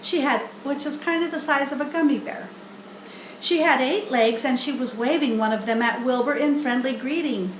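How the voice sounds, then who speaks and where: A woman's voice: sits high, moderate pace, echoey room, slight background noise, rough recording. A woman reading a children's book aloud as its narrator. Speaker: storybook reader.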